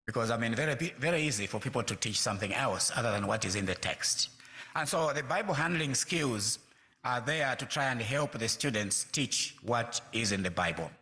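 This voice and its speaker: A man in his sixties from Zambia, a pastor speaking to a congregation in a measured way, pausing often with fillers. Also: mid-range voice, lively intonation, pushed and bright. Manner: measured, with frequent hesitant fillers. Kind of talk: church talk to a congregation.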